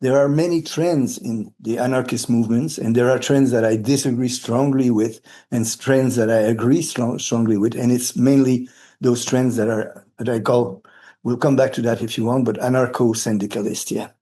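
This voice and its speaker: A man in his late sixties from Quebec, a native French speaker with a Quebec French accent, giving a reflective, halting and earnest interview. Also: medium pitch, fairly even intonation, warm tone. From Quebec, Canada, brought up partly in Cameroon and Senegal. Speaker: interviewee, retired philosophy of education academic.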